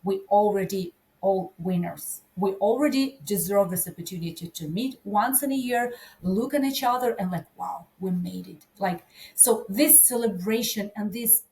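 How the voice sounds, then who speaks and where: This is a woman in her forties with a Ukrainian accent, a guest on a conversation show. Her voice sits at a middle pitch. She talks with lively enthusiasm, packing her sentences with fillers. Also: moderate pace, few pauses. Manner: enthusiastic, filler-heavy speech with many "like"s. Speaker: guest, event organizer. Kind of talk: conversational interview.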